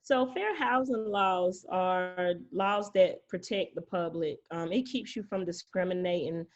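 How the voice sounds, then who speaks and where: A woman's voice: on the low side, measured pace, muffled recording. A woman speaking as a property manager, explaining things informally and illustrating points with anecdotes and imagined exchanges.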